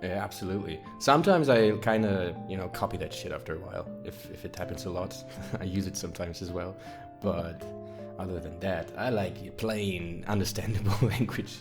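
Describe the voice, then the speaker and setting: A man's voice: low voice, normal speed. A man who is a non-native English speaker, hosting a casual interview podcast. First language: not English. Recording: clear guitar music under the voice.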